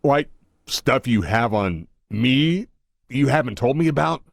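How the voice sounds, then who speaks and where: Crooked political sidekick played by a man: swooping pitch, scheming, conspiratorial, radio-play delivery. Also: expressive, unhurried, gravelly, no room sound. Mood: sly. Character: crooked, conniving crony of a corrupt mayor. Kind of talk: audio drama acting.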